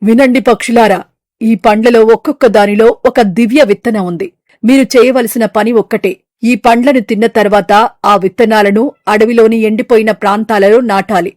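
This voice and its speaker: A woman plays an ancient sacred tree spirit in an animated fable. The voice is fairly high and dry, with a grave, declamatory delivery. The tree is stern but forgiving, a mother of the forest. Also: moderate pace, no echo, natural voice. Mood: solemn, forgiving. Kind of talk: animated moral story dialogue.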